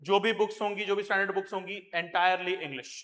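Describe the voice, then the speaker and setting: High, bright-voiced Indian teacher. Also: male voice, steady tone. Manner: slows down and stresses the English phrases, addresses viewers directly. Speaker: UPSC coaching teacher. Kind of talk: explanatory lecture, mixing Hindi and English.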